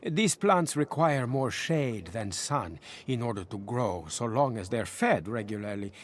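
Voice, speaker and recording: An actor playing a pious, learned herbalist in a video game, explaining things in a deliberate, scholarly way. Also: male voice, mid-range voice, animated, a little hiss or hum, close to an everyday voice. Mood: scholarly, intrigued. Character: learned herbalist healer, pious, earnest and cautious.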